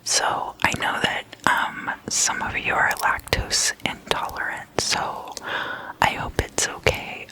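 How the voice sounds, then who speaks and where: An ASMR host whispering in a breathy, hushed and deliberate way, as if chatting at a sleepover. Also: rough recording.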